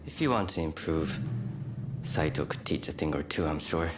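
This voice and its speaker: Soft, low-voiced ailing young swordsman. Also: animated, normal speed, background noise. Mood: apologetic, wistful. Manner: polite, self-deprecating, gently spoken. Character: gentle, courteous, bedridden ailing young male swordsman. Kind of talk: dramatic video game acting. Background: game soundtrack music.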